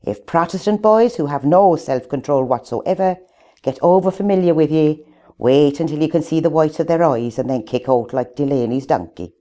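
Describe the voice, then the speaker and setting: A stern old nun with a lilt lays down the law to a room of schoolgirls, as an older woman puts her on. The voice sits low and mellow, with a blunt, sermonizing bite to every rule. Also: normal speed, natural voice.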